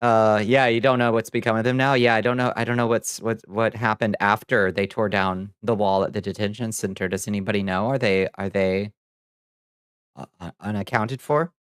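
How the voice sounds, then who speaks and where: A man hosting a livestream, chatting casually with viewers and commenting on news in a halting, profane, muttering way. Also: lowish voice, steady tone, clean tone, no room sound, clean studio sound. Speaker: livestream host reading viewer chat.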